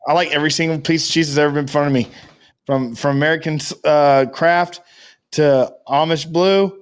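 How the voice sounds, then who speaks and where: A man's voice: on the high side, steady tone, a little fast, smooth. A man co-hosting a podcast in casual, joking conversation. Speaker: podcast co-host. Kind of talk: casual chat with comedic banter.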